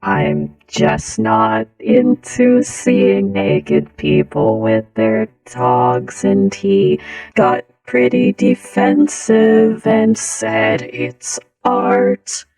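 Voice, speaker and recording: A woman's voice: medium pitch, wildly swooping pitch, vocal fry, warm tone, distant mic, faint music behind, rough recording. A woman telling a casual, humorous personal story, her voice run through a voice changer. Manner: slow, giggly delivery through a voice changer. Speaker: storyteller, content creator.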